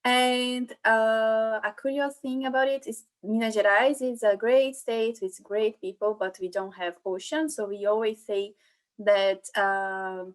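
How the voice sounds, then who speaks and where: A young woman from Brazil with a Brazilian Portuguese accent, giving an informal science talk online and pausing often with fillers and restarts. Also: medium pitch. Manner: hesitant, many fillers and restarts. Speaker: guest speaker, PhD student. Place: home room, online event. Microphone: computer mic on video call.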